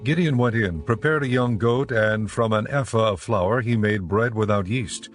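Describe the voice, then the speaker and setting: Low-voiced male Bible narrator. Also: faint music behind. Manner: measured, storytelling. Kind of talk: scripted narration, dramatized audio Bible.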